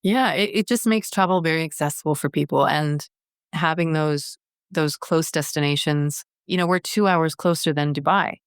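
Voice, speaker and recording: A woman's voice: sits low, normal speed, smooth, dry recording, clean studio sound. A middle-aged woman from Canada, a guest in an interview, talking earnestly and often restarting her sentences. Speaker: guest, wellness strategy director. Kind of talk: conversational interview.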